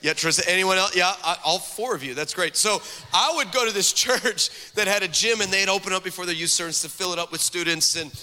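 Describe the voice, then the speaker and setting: A man in his forties from the Texas–Mexico border, a guest pastor preaching a lively, joking sermon and calling out to the crowd in a large church hall. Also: high-pitched, fairly quick. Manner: energetic, joking, calls out to the crowd. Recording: long hall reverb from a church sanctuary.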